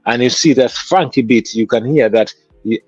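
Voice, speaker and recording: A man's voice: medium pitch, moderate pace, slightly rough, no room sound, lo-fi sound. A man with a Kenyan accent, a guest musician telling stories from his childhood in an interview. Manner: reflective, storytelling. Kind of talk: interview conversation.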